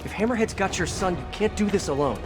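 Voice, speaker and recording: A man's voice: high-pitched, animated, fast, music in the background. An actor playing a caring, wry young male superhero, conversational and earnest, in a video game cutscene. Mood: pleading.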